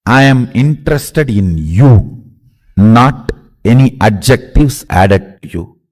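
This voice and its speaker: Indian guy guru preaching, swoops up and down in pitch, drawn out emphatic bits, some hall reverb. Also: animated, darkish tone. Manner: emphatic, halting short phrases with repetition. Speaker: spiritual guru teaching a course. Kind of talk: spiritual discourse.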